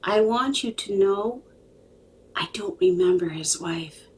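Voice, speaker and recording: A woman's voice: mid-range voice, takes it slowly, darkish tone, a little hiss or hum. A woman telling a personal story as a psychic medium, dramatically and emphatically, repeating phrases for weight.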